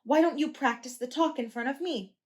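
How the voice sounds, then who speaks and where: A calm, helpful young boy in a picture book who explains things patiently and reassures his friends. He talks gently and steadily, read aloud by a woman. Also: high voice, fairly quick, pitched above the performer's own voice, close to an everyday voice. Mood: reassuring. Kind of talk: children's storybook read-aloud.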